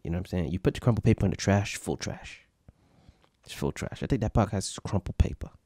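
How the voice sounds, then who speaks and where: A man's voice: low voice, lively intonation, fast talker, mellow, no echo, clean studio sound. An American man giving casual, comedic commentary in a blunt, mocking way, repeating himself as he riffs. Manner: mocking, blunt, repetitive riffing. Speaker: podcast host reviewing other podcasts.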